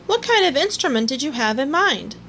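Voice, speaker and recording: A woman playing a kind, helpful young boy in a children's storybook, with a gentle, reassuring manner. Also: high-pitched, very animated, fairly quick, slight background noise, exaggerated voice.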